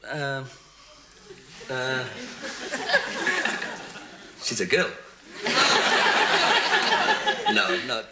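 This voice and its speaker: A young Yorkshire man recounts a bad date with wry, grumbling humour, often laughing as he speaks. His pitch swings widely, rising and falling. The old 1980s television audio carries heavy noise. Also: huge pitch swings, drawn-out words, long pauses. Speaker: game show contestant. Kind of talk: candid recap of a date.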